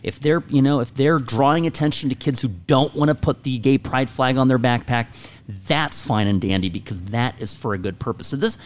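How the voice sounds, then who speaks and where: A middle-aged man hosts a talk radio conversation. He speaks in an opinionated, emphatic way, stressing key words deliberately. His pitch sits in an ordinary male range. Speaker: talk show host.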